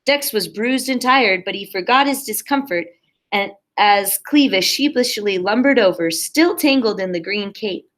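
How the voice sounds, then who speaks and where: A woman's voice: medium pitch, animated, normal speed, fairly bright tone. A woman primary school teacher reading a story aloud expressively and talking simply and encouragingly to young children.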